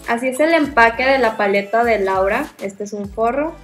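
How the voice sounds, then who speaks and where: A young woman with a Mexican accent hosts a makeup review in a fairly high voice. She speaks casually and with enthusiasm. The room adds clear echo, and music plays behind her. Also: moderate pace. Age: young adult. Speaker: beauty reviewer and video host. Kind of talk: makeup product review to camera.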